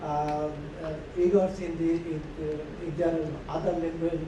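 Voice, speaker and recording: A man's voice: fairly high pitch, little pitch movement, a little slow. Hesitant man in an echoey hall. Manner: hesitant, full of fillers. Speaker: panel speaker. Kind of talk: conference remarks. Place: large conference hall. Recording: distant echoey hall recording with noise.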